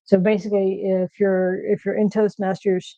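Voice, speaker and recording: A woman with a soft nasal voice chatting casually on a livestream from her kitchen, with lots of fillers and restarts. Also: mid-range voice, level tone, normal speed, no echo, lo-fi sound. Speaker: streamer host. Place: home kitchen.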